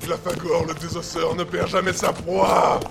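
A man playing a savage, boastful monster in an audio drama, growling slow, grandiose threats. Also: a little high, little pitch movement. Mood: menacing, roaring. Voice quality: gravelly, growling. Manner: grandiose, slow, menacing taunts. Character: savage boastful bone-ripping monster beast, male. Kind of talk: audio drama villain acting. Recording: loud music behind.

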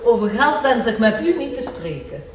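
An actress playing a stern, pious convent head with a formal, disapproving manner in a period audio drama. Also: female voice, on the high side, very animated, moderate pace. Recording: echoey, distant, noisy background.

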